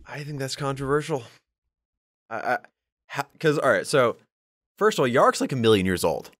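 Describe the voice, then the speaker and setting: A man's voice: medium pitch, sing-song, normal speed, fairly bright tone, dry recording. A man co-hosting a podcast in casual, joking, sweary banter. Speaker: podcast co-host. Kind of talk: casual banter about tabletop wargaming.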